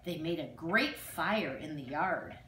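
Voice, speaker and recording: An older woman reads a children's fairy tale aloud, with expressive, dramatic emphasis on exclamations. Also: sits low, slow pace. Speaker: storyteller. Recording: distant, echoey room with faint noise.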